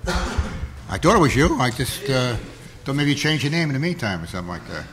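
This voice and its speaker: A hoarse man with a cold, chairing a zoning board meeting in plain, folksy words, heard through a table mic in a town hall. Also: mid-range voice, sing-song, quick pace, fairly bright tone, a little hiss or hum. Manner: plain-spoken, folksy, many fillers.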